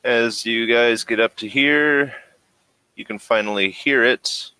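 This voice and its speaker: A man acting as game master, narrating a tabletop game in a deliberate, dramatic manner. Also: medium pitch, pushed and bright, clear, resonant tone, no echo, low-quality recording.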